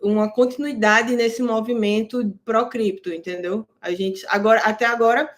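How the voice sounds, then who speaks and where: A woman's voice: fairly high pitch, fairly even intonation, normal speed. Woman giving casual crypto market talk. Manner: conversational, checks in with listeners often; explains terms plainly for beginners. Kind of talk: casual market analysis to camera.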